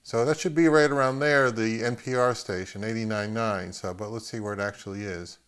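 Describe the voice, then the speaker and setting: A middle-aged man giving a casual, unscripted gear demonstration, thinking aloud in halting phrases as he handles the equipment. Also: lowish voice, steady tone, a bit bright, clear, resonant tone. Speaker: host of an audio gear review channel.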